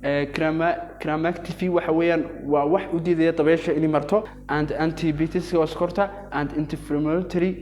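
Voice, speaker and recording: Young male pharmacy student, Arabic native speaker, slightly high voice, halting delivery with frequent restarts, faint hiss behind. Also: a little mellow. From Yemen. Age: young adult. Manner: hesitant, many restarts and fillers, switches between two languages. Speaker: pharmacy student giving a class presentation. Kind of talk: halting bilingual presentation.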